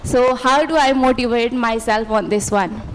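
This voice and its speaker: A woman's voice: on the high side, moderate pace, slight background noise, low-quality recording. A young woman from Nepal, a student, asking the panel a question in a hesitant way. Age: young adult. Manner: hesitant, many fillers.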